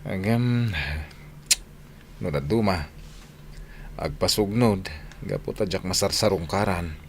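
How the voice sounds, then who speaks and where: A man's voice: deep voice, slow pace, vocal fry, slight background noise, exaggerated voice. An actor playing a patient, earnest young working man in a radio drama, with a Northern Philippine Ilocano accent. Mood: casual. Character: hardworking, patient young man Saldy, away from his sweetheart.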